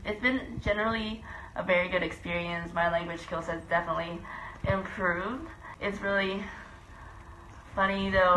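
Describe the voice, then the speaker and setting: Young woman, Philippines-born and US-raised, lowish voice, hesitant and thoughtful, light background hiss. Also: fairly bright tone, roomy sound, low-quality recording. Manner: thoughtful, hesitant with many ums. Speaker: student abroad. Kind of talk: interview testimony.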